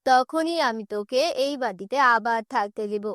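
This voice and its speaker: A woman's voice: fairly high pitch, normal speed, a bit bright, no echo, clean studio sound, voice pushed down, close to an everyday voice. Woman voicing a harsh, scolding cartoon stepmother. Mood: cold, threatening. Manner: scolding, contemptuous. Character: harsh, cruel middle-aged stepmother, scolding and threatening.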